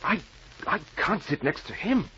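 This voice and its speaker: An actor playing a defiant German schoolboy newcomer in an old radio drama, with stiff, formal, indignant phrasing. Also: male voice, sits high, expressive, a little slow, bright, forceful tone, exaggerated voice. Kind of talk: 1940s radio acting. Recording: muffled, noisy old radio recording.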